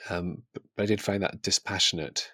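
A man's voice: low-pitched, steady tone, a little fast, bright, forceful tone, no room sound. A man co-hosting a podcast in a casual book discussion, speaking in a tentative, thoughtful way.

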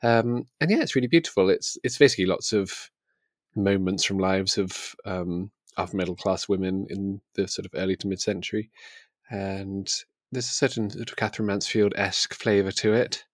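Man with a low, dry-recorded voice. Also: fairly even intonation, normal speed, no echo. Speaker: podcast co-host. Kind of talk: casual book chat.

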